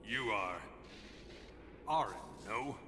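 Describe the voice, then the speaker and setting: An earnest guardian from a video game, speaks haltingly and with reverence. His voice is bright and rings in a long hall reverb. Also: fairly low pitch, lively intonation, slight background noise, heavily acted. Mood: awed. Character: earnest, awestruck muscular male guardian.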